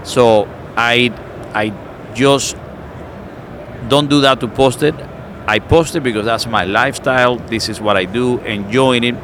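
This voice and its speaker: A man's voice: medium pitch, steady tone, measured pace, background noise. A middle-aged man from Cuba with a Cuban Spanish accent, chatting casually and searching for words with many fillers. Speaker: cigar maker. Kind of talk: casual chat.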